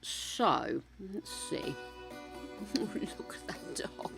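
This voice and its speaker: Slow, gravelly older woman streamer. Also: fairly low pitch, very animated. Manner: chatty, muses aloud while building, talks to viewers. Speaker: game streamer. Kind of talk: casual gameplay chat. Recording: game music behind the voice.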